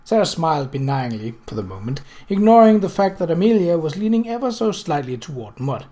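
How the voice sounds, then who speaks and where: A narrator reading a novel aloud in a measured storytelling manner. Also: mid-range voice, a little mellow. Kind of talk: scripted audiobook narration.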